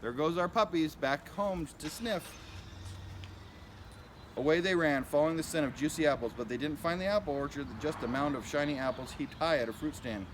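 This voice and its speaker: A man's voice: fairly high pitch, normal speed, slight background noise. A man reading a picture book aloud to children as a school principal, in simple narration with asides about the pictures.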